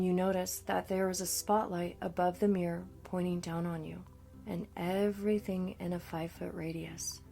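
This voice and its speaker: A woman coach narrating a scripted guided meditation in a soothing, deliberate manner. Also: lowish voice, creaky, dark tone. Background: background music.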